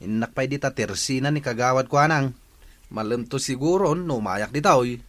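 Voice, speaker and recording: A man's voice: mid-range voice, moderate pace, a bit bright, natural voice. A man playing a cheeky, jovial villager in an Ilocano radio comedy drama, with an Ilocano accent.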